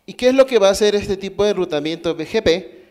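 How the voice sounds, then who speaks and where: A man with a Peruvian accent speaks at a technical conference through a microphone. His voice sits a little high, and he explains step by step, pausing to put questions to the audience. He often breaks off and restarts his sentences mid-thought. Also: lively intonation, a little mellow. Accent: Peruvian Spanish. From Peru. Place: conference hall.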